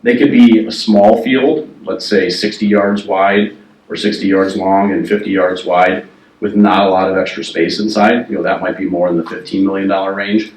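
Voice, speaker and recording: A man working as a facilities planning consultant, giving a measured, explanatory presentation at a meeting with frequent ums and uhs. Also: low-pitched, level tone. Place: meeting room. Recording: echoey room, voice from far off the mic.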